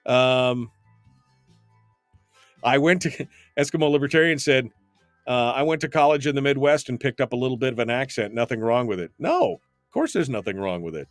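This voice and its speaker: Opinionated man hosting talk radio, mid-pitched, rambles with ums, dry studio sound. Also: animated, normal speed, no echo, faint music behind. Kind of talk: casual radio talk, reading listener comments.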